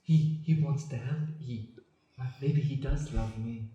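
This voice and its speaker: A man's voice: medium pitch, measured pace, dark tone, lo-fi sound, close to an everyday voice. A man playing an anxious, pleading son who stammers through an improvised comedic radio play. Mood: tearful, hopeful. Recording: echoey room with music underneath.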